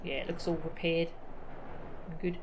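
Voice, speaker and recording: A woman's voice: on the low side, level tone, normal speed, background noise. A woman giving casual, chatty live commentary as she streams a video game, easily delighted. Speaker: game livestreamer. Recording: loud game music behind. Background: video game music.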